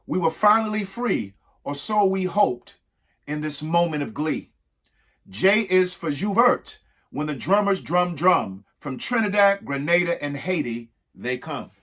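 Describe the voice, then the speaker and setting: A husky-voiced man reading a rhyming picture book aloud expressively, emphatic, with pauses between short phrases. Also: fairly high pitch, animated, fairly bright tone. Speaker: reader of a children's picture book. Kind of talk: expressive read-aloud of a rhyming alphabet book with spoken asides.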